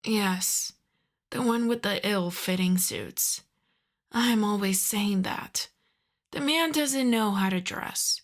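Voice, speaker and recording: Woman playing a slow-talking, wry female mafia boss. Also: medium pitch, lightly acted. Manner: wry, sweary, conversational, addresses listener as darling. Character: apologetic, exasperated female mafia boss, sardonic but courteous. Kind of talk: roleplay audio drama, ASMR.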